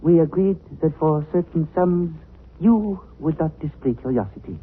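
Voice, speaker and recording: High-voiced, courtly radio villain. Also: male voice, animated, normal speed, breathy edge. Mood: cool, veiled menace. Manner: formal, elaborately polite, ornate phrasing. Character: suave, menacing male smuggler of narcotics with courtly speech. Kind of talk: 1940s radio drama acting. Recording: tinny, band-limited 1940s radio recording.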